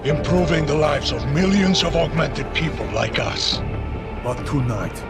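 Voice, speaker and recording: A man playing a cold, calculating, self-righteous terrorist villain in a video game, measured and menacing as he speechifies. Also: a little high, close to an everyday voice. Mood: coldly righteous. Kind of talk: video game cutscene acting. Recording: loud music behind the voice.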